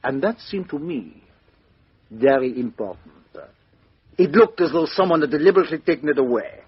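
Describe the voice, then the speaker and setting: An actor playing a shrewd, methodical foreign detective in a 1950s radio mystery, speaking in a deliberate, courteous, theatrical way with rhetorical questions. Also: male voice, a little high, lively intonation, slightly rough. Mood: insistent, probing. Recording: dull lo-fi 1950s radio recording.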